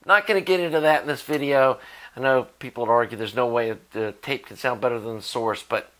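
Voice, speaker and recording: A man's voice: medium pitch, a little fast, fairly bright tone, poor audio. Male, middle-aged, American accent. Informal, conversational hands-on repair host, talking to camera. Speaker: host of an electronics repair channel. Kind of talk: casual explanatory talk to camera. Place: indoor workshop at a workbench.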